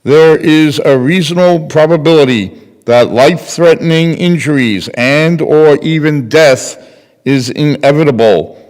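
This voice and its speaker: Higher-voiced older man reading in echoey hall. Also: measured pace, darkish tone. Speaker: readers theater performer. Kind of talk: scripted reading of testimony. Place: temple sanctuary at a lectern. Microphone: boom mic on a stand at lectern. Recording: echoey hall with long reverb.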